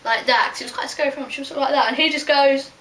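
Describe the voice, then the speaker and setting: A young British woman chats informally and animatedly, telling stories to a friend. Her voice is bright and fairly high. The sound is echoey, as if she sits back from the microphone in a room. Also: fairly even intonation, very fast. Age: teenager to young adult. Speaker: vlog host telling stories. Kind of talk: casual chat storytelling with a friend. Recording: roomy echo, distant mic, faint noise.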